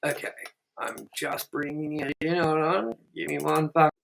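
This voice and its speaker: A man's voice: a little high, fairly even intonation, unhurried, gravelly, fairly bright tone, a little hiss or hum. A man hosting a casual movie review show, jokey and loose, swearing freely. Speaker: show host.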